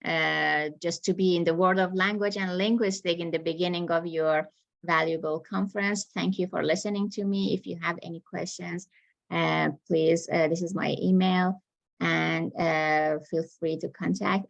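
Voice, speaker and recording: A woman's voice: lowish voice, vocal fry. A woman presenter closing her academic conference talk, polite and hesitant with many fillers.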